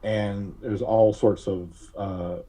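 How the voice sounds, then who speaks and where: A man speaking as a podcast guest, recalling things casually in a halting, deliberate way with frequent long hesitations. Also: lowish voice, fairly even intonation, dark tone. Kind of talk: casual interview recollection.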